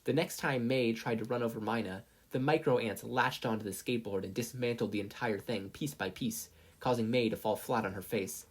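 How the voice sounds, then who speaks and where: Husky, medium-pitched story narrator. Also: brisk, few pauses. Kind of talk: scripted fan fiction narration.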